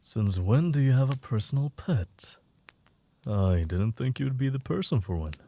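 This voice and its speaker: A man's voice: medium pitch, animated, unhurried, warm tone. A man playing a polite, formal business guest of a mafia family in an audio roleplay. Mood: polite, reserved.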